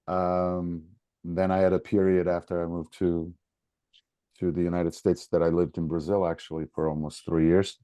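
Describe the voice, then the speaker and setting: Man, Portuguese accent, dark mellow tone, flat intonation, hesitant casual talk. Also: low voice, no room sound. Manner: hesitant, halting, repeating words. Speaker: podcast guest, soccer coach. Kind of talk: casual conversation.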